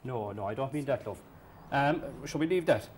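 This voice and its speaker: A man's voice: mid-range voice, expressive, normal speed, a little mellow, a little hiss or hum, close to an everyday voice. A man playing a crude, patronizing small-town boss, rambling and folksy. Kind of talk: comedy sketch acting.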